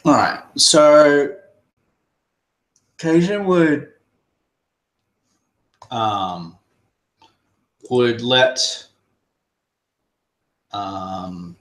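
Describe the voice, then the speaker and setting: A man's voice: mid-range voice, drawn-out words, long pauses, a bit bright, reverberant. A man playing a tabletop game who talks hesitantly, with many fillers as he works out his moves. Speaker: player.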